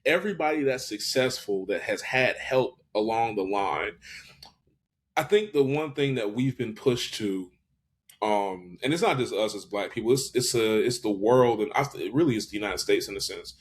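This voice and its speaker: A man's voice: fairly low pitch, lively intonation, normal speed. A man in his twenties, a podcast guest talking casually and reflectively, hesitant, with many restarts and fillers. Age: 20s. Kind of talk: casual reflective interview talk.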